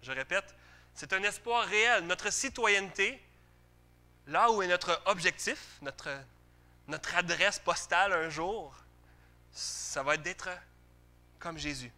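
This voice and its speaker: A man's voice: sits high, slow pace, pauses often, pushed and bright. A man with a Quebec French accent preaching a sermon to a congregation in an emphatic but conversational way, often putting questions to his listeners.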